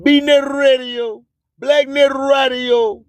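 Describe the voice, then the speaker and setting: A man's voice: very high voice, level tone, takes it slowly, no echo. A man hosting an internet radio show in an emphatic, declamatory hype style, repeating slogans.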